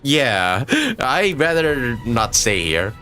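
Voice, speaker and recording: A man's voice: mid-range voice, big pitch swings, takes it slowly, bright, forceful tone, soft background music. A man giving casual live reaction commentary on a video game, joking and sarcastic, with some swearing.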